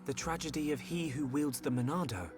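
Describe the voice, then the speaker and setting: An actor playing an earnest, determined young hero with a questioning manner in a dramatic video game. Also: male voice, a little high, a little fast, over music, natural voice. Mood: puzzled.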